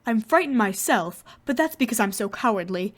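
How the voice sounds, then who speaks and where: A woman's voice: mid-range voice, animated, moderate pace, close to an everyday voice. A woman voicing a timid, kindly male lion who growls and reassures. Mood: reassuring.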